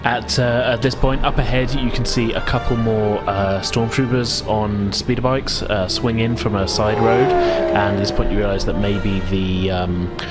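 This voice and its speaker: A man's voice: lowish voice, fairly even intonation, a little slow, few pauses. A man running a tabletop roleplaying game, narrating casually and off the cuff in an amused manner. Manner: amused, chuckles while narrating. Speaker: game master. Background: loud music.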